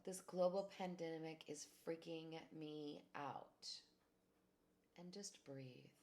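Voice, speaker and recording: A woman speaks slowly and calmly with a slightly low voice, guiding listeners through a tapping exercise. She gives gentle instructions and phrases to repeat after her. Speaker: tapping practitioner.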